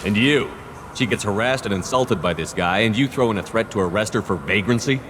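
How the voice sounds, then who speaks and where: A man's voice: fairly low pitch, fairly quick, fairly bright tone, slight background noise, lightly acted. A man playing a resolute, commanding soldier hero in a video game, firm and direct. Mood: stern.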